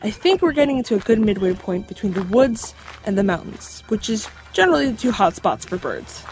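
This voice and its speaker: A woman playing a bookish, cautious young folklore expert in an audio drama, talking in a measured, explanatory way. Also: mid-range voice, very animated, a little mellow, rough recording. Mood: thoughtful.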